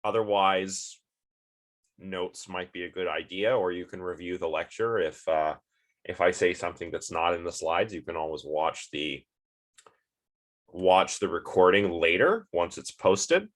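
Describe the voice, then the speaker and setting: Low-voiced sniffly man lecturing casually. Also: unhurried. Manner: chatty, rambling, many fillers and restarts. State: sniffly, stuffed up. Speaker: philosophy lecturer.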